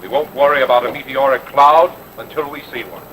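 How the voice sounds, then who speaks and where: A man's voice: medium pitch, brisk, fairly bright tone, natural voice. An actor playing an arrogant, impatient rich businessman in an old-time radio drama, curt and pompous. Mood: impatient, haughty. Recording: noisy vintage radio recording, engine drone.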